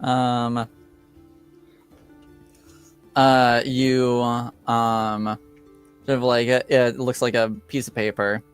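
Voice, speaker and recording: A man improvising narration as game master of a tabletop roleplaying game, in a halting, tentative way. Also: medium pitch, flat delivery, clear, resonant tone, soft background music.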